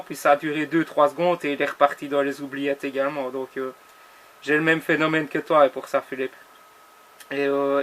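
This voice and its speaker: Even-toned Belgian man, medium pitch. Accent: Belgian French. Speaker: amateur radio operator. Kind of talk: casual ham radio chat.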